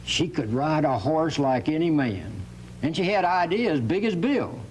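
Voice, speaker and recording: A man's voice: a little high, unhurried, poor audio. A man playing a jovial cowboy storyteller, spinning tall tales in a folksy manner for an educational radio drama. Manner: folksy drawl, chuckling, animated. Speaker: guest storyteller. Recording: hum and faint background noise.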